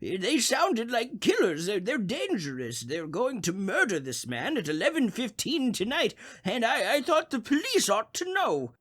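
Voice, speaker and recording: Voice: mid-range voice, very animated, a little slow, few pauses, fairly bright tone, husky, heavily acted. An actor playing a crotchety, self-important old man in a 1940s-style suspense radio drama, fussy and indignant, stammering as he makes demands. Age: older.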